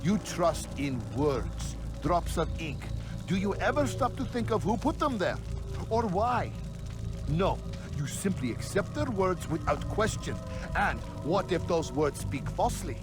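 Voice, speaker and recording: An actor playing a proud, scornful book-burning zealot in a video game, declaiming like a preacher. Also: male voice, sits high, big pitch swings, measured pace, music in the background. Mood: scornful lecturing. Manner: declamatory, preaching, rhetorical questions. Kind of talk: dramatic video game acting.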